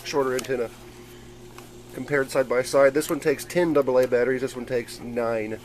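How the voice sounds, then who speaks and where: A man's voice: medium pitch, steady tone, normal speed. A man giving a casual, rambling show-and-tell of his gadgets, explaining things informally as a hobbyist. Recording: clearly noisy amateur camera audio.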